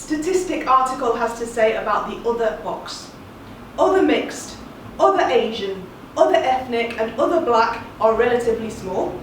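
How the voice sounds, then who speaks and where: A woman delivers a measured, earnest spoken-word monologue in a fairly high voice. She sounds distant, in a very echoey room. A faint ambient hum sits underneath. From England.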